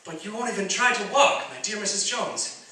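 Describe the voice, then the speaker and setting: A man's voice: high-pitched, brisk, bright, forceful tone. A man playing a patient, kindly doctor in a radio play, coaxing and reassuring. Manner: soothing, coaxing. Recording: echoey hall from far off, faint noise.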